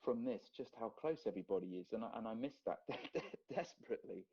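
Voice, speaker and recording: A man's voice: lowish voice, lively intonation, quick pace, mellow, husky. A man, an actor guest chatting casually and jokingly about old memories on a live video call. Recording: slightly dull livestream call audio.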